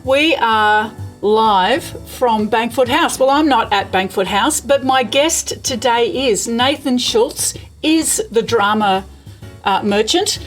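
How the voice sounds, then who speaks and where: A woman hosting a casual interview, speaking in an effusive, enthusiastic way. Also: fairly high pitch. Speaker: interview host. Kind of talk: casual video call interview. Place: remote, not at the guest's location.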